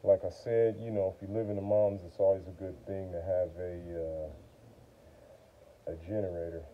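Outdoor phone audio, faint noise, middle-aged man, lowish voice, casual how-to vlog, halting delivery, folksy slang. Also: flat delivery, narrow, tinny audio. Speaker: vlogger demonstrating generator maintenance. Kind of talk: casual how-to chat while working. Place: outside by a wooden porch. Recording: noisy outdoor phone recording.

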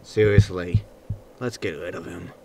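A man playing a grumpy, grudging spaceship captain in a sci-fi comedy audio drama, gruff and exasperated. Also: sits low, fairly even intonation, a little slow, very noisy. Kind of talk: science fiction sitcom audio drama.

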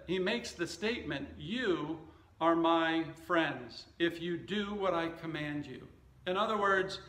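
A male pastor in his fifties delivers a sermon in an echoey room. His voice sits a little high for a man, and he moves very slowly, setting out each short phrase with deliberate care. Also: fairly bright tone. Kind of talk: sermon with scripture reading and anecdotes. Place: indoor church stage at a wooden lectern.

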